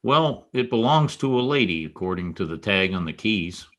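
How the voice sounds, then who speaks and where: A hard-boiled private eye played by a man in a vintage radio mystery. The voice sits low, and the lines come out wry and clipped, with a tough wisecracking edge. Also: expressive, moderate pace, fairly bright tone. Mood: probing, wry. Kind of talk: 1940s radio detective drama acting with narration.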